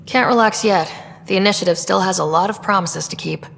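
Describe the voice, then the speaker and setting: A woman's voice: mid-range voice, brisk, vocal fry, fairly bright tone. A woman playing a young, determined explorer leader in a video game, earnest and businesslike. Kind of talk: video game dialogue acting. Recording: reverberant hall with background noise.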